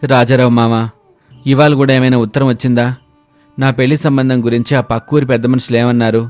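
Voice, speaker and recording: A man voices a despondent unmarried villager in a moral tale. He complains bitterly and plaintively in a mid-pitched voice, recorded dry with light music behind. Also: little pitch movement, brisk, darkish tone, no echo, lightly acted. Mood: dejected. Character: lonely, despondent unmarried village man past marrying age. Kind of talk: dramatised moral-story dialogue.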